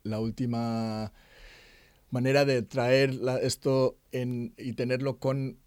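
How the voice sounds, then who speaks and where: Halting middle-aged man, mellow voice. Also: medium pitch, little pitch movement, clean tone, no echo. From Germany. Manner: halting, many fillers and restarts. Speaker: interview guest, artist's son. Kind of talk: conversational interview.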